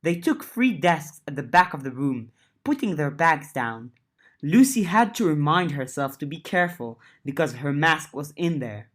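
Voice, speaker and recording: A woman narrating an audiobook of a fiction serial, lifting her voice for dialogue and settling lower for narration. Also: lively intonation, normal speed. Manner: reads dialogue with lifted pitch, narration lower and softer. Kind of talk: audiobook reading of a web serial.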